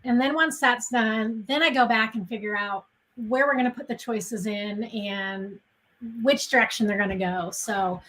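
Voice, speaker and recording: A woman with a fairly high-pitched voice talks as a guest in an interview. Her style is casual and conversational as she explains her work. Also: normal speed, fairly bright tone, poor audio. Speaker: interviewed screenwriter.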